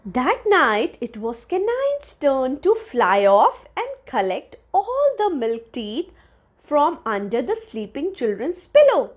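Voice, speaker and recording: Female storybook reader, high voice, very animated intonation, slow pace for small listeners. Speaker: storybook reader for young children. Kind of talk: expressive read-aloud of a children's story.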